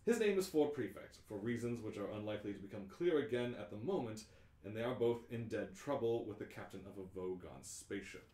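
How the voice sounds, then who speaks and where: A man's voice: lowish voice, expressive, a little fast, dark tone, roomy sound. A man hosting a live script read-through, narrating in a playful, theatrical way. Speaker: host and narrator.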